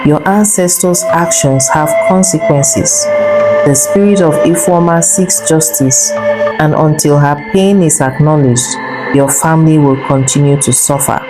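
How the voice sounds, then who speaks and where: A woman voicing a wise, compassionate male village pastor in a folktale, solemn and measured. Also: low-pitched, very animated, low-quality recording. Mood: grave. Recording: loud music under the voice.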